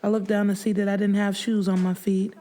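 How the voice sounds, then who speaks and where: A woman's voice: mid-range voice, level tone, brisk, mellow. Sorrowful woman narrating an audiobook. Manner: emotive, sorrowful storytelling. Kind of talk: dramatic first-person fiction narration.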